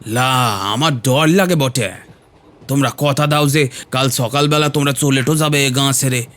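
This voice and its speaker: A man's voice: mid-range voice, steady tone, moderate pace, fairly bright tone, close to an everyday voice. A man playing an anxious, protective villager in an audio horror story, with a rural Bengali accent and an earnest, pleading manner. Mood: anxious warning.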